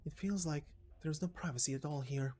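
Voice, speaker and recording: A woman voicing a quiet, determined young female inmate, speaking her guarded thoughts in a game story reading. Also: low voice, brisk, natural voice. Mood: wary, determined. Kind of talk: voiced visual novel story reading.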